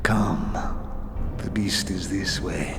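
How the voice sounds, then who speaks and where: An actor playing a menacing ghoul pack leader in a fantasy audio drama, speaking in broken, hissing phrases with a whispery rasp. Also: male voice, low voice, steady tone, slow pace, long reverb tail, heavily acted. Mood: watchful, urgent. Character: cruel, hungry male ghoul pack leader hunting monsters.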